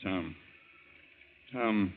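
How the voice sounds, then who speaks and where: Deep, stern older doctor. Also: male voice, very slow, slight background noise. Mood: brisk, clinical. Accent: American. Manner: curt, authoritative. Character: stern, brusque older male doctor, an uncle. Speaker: radio drama actor. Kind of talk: old-time American radio drama acting. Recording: muffled vintage radio recording.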